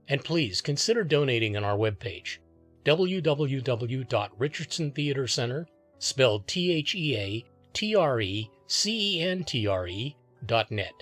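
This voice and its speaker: Lively man announcing a show sign-off. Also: mid-range voice.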